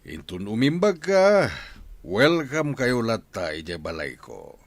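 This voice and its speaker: An actor playing a courteous, forgiving village man in a radio drama, measured and reassuring. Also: medium pitch, big pitch swings, no room sound.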